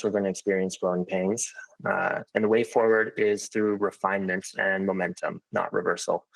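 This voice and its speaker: A man's voice: low voice, dry recording, rough recording. A man giving an earnest, conciliatory prepared statement at a council hearing, with frequent fillers. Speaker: public speaker at a council hearing. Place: council chamber.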